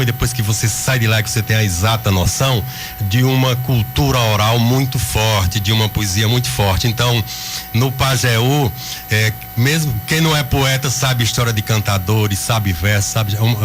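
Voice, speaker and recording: A man from the Pernambuco backlands, a writer and linguist, speaking as a guest in a radio interview with a thoughtful, measured manner. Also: on the low side. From Pernambuco sertão, Brazil. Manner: thoughtful, measured, slightly professorial.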